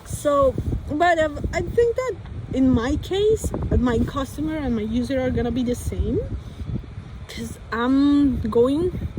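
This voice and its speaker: A young Colombian woman with a Spanish accent, talking through her ideas out loud, hesitating and restarting her sentences. Her voice sits fairly high. Faint city traffic hums behind her outdoors. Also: lively intonation, takes it slowly. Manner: hesitant, many restarts and fillers. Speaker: vlogger. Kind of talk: thinking aloud monologue.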